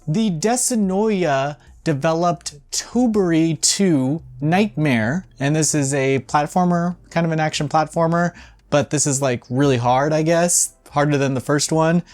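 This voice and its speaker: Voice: medium pitch, a little mellow. A video game news host running through releases in a casual style, with wry, offhand asides. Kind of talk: casual news rundown with off-the-cuff commentary.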